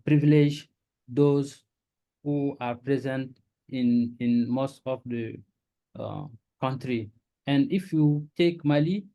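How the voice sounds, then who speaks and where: A man answering a webinar question, pausing often as he searches for words. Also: medium pitch, dark tone, low-quality recording.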